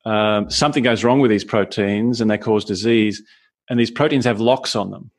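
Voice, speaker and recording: A middle-aged Australian man with a gravelly voice, a scientist guest on a podcast, talking with many hesitations and restarts. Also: lowish voice, normal speed. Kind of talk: podcast interview.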